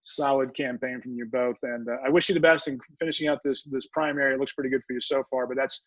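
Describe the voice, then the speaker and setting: An older man hosting a radio talk show, speaking in halting, rambling sentences full of fillers. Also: mid-range voice, steady tone, a bit bright, no room sound. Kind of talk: radio interview chat. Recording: muffled dry audio.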